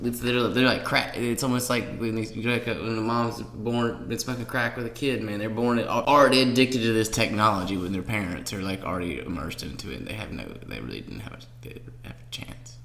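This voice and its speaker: Young male co-host, rambling casual chat, gravelly, fairly low, echoey room. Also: fairly quick, hardly any pauses, a bit bright. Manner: rambling, frequent fillers and restarts. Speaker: podcast co-host.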